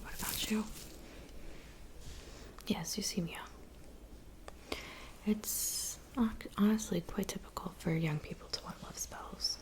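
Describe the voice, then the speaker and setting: A woman playing a gentle, attentive witch in an ASMR roleplay, whispering breathily and unhurriedly with soft pauses. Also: mid-range voice, fairly even intonation, close to an everyday voice. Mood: soothing, chatty. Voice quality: breathy whisper with creaky edges. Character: gentle, attentive old witch who looks young. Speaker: ASMR performer.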